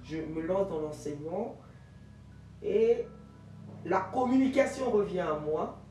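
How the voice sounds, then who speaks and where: Woman in her forties from Ivory Coast, lively guest, distant mic in a roomy studio with music under it. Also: lowish voice, takes it slowly. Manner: animated storyteller with many fillers and restarts. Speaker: guest, jurist and teacher. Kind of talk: interview answers.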